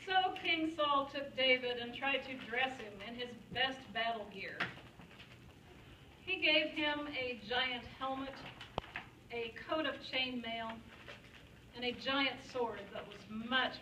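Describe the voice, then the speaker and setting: Female narrator for a puppet show: high, unhurried storytelling, picked up from afar in a roomy, noisy space. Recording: echoey room, distant mic, clear noise.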